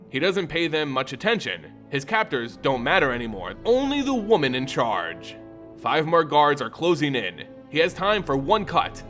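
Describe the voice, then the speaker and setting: A man hosting a lore channel, reading a scripted story in a theatrical, expressive way. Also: a little high. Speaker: host and narrator of a lore channel. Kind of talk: dramatic scripted story narration. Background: music under the voice.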